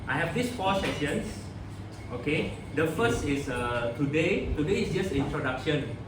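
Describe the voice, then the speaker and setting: A man with a Singaporean accent presenting to a group at a community activity center, speaking haltingly with many fillers and checking in with "okay?". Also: on the high side, lively intonation. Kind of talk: informal talk to a seated group. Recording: distant roomy noisy room recording.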